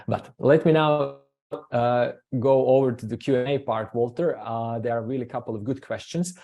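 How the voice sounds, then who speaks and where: A man from Croatia hosting a business webinar from the marketing side, speaking haltingly with many fillers and restarts. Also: medium pitch, dark tone.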